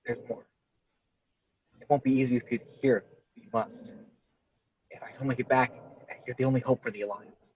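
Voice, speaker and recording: A man reading an earnest, calm, resolute young hero in a film script table read, on muffled video-call audio. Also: medium pitch, brisk, pauses often, slight background noise, natural voice. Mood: grave, resolute.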